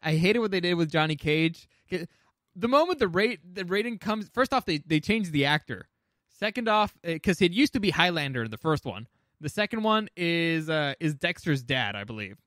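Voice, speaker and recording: A man with a fairly high voice and a close, dry sound chats as a co-host. He is opinionated and loose, stumbling into restarts and swearing casually as he works through a ranked list. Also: big pitch swings, moderate pace, fairly bright tone, no echo. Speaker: podcast co-host. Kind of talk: casual chat ranking movies.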